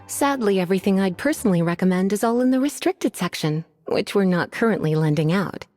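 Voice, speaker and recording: A woman's voice: mid-range voice, animated, brisk, darkish tone, lightly acted. An actress playing a languid, teasing woman librarian who drawls and sighs, in a game with faint music behind. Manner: drawling, sighing, sultry teasing.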